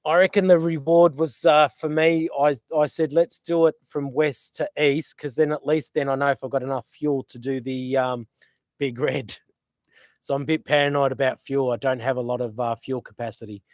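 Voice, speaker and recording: Rambling Australian bloke on a live stream. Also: male voice, medium pitch, fairly even intonation, normal speed, a little mellow, no room sound, studio quality. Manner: rambling, many fillers, teasing. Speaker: host. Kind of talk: casual live-stream chat. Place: outdoors by a river.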